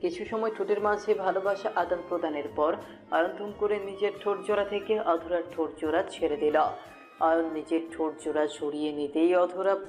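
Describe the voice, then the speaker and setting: A woman's voice: lowish voice, fairly even intonation, fairly quick, darkish tone. A woman narrator reading a scripted romantic story in the third person. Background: music.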